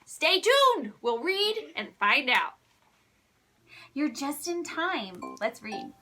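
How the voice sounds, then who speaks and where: A woman's voice: high voice, very animated, slow pace, sharp tone, slight background noise. A woman hosting a read-aloud show for children, narrating storybooks in a theatrical, playful and exaggerated way.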